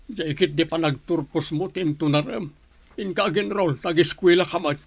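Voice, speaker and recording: Radio-play dad, stern but caring, with a rough creak in his voice. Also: a little high, normal speed. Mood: encouraging. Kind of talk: audio drama acting.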